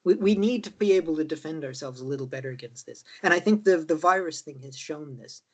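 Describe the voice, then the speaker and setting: A man's voice: a little high, lively intonation, fast, husky. An Irish man in a casual political discussion, rambling and peppering his talk with tag questions. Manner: rambling, with many "like" and "right?" tags. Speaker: political party member.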